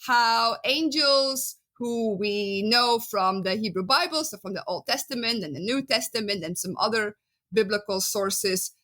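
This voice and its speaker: A woman's voice: on the high side, measured pace, bright, forceful tone. A Belgian woman in her thirties with a Flemish Dutch accent, a biblical archaeologist chatting as a podcast guest, good-humoured and full of "um"s. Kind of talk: casual interview.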